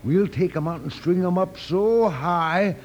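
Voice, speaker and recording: An actor playing a rustic, plain-spoken American homesteader in a 1950s western radio drama. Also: male voice, high voice, a little slow, a little mellow. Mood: vengeful. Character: anxious, vengeful male western homesteader. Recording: dull, lo-fi old radio recording.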